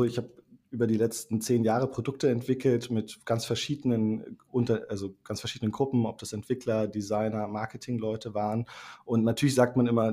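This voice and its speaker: A man from Thuringia, a startup managing director, explaining things in a relaxed podcast interview with frequent fillers and restarts. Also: mid-range voice, slightly rough. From Thuringia, Germany.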